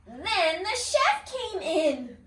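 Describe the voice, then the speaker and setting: A woman reading a picture book aloud like a kindergarten teacher, expressively, pausing to put questions to young listeners. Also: very high-pitched, sing-song, fairly bright tone. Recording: roomy echo, distant from the mic.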